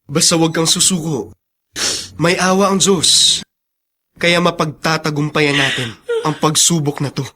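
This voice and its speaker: An actor playing a loyal, encouraging younger brother in a radio drama. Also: male voice, a little high, fairly even intonation, unhurried, pauses often, sharp tone.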